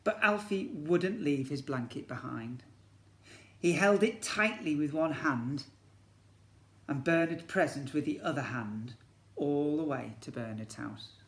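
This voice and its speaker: A man's voice: on the high side, a little slow, a bit bright. A man, a teacher reading a children's story expressively and breaking off to ask his young listeners questions. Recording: echoey room.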